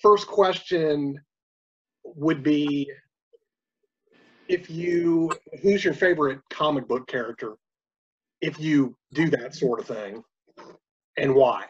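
Male podcast interviewer, hesitant and filler-heavy, husky voice on a dull lo-fi recording. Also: a little high, takes it slowly, pauses often. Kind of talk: casual interview.

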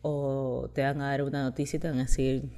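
A woman's voice: extremely low pitch, flat delivery, a little fast, warm tone. A young woman, raised in Chile, hosting a podcast and telling personal stories in a chatty, confiding way, laughing often. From Peru and Chile, raised in Chile. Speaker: podcast host. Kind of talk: casual personal storytelling.

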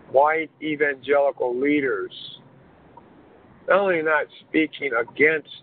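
A man, a pastor being interviewed, speaking in a measured, earnest way with many pauses. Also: medium pitch. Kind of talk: interview conversation. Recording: muffled phone line, faint hiss.

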